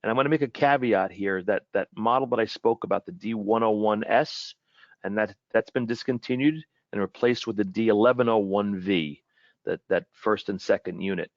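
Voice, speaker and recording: A man giving an informal webinar product presentation in a halting way, often repeating and rephrasing his words. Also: lowish voice, no echo, dull audio.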